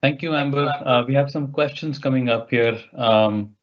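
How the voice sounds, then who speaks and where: Mid-pitched male moderator, dull call audio. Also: normal speed. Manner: polite, tentative phrasing of questions. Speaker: webinar moderator relaying questions.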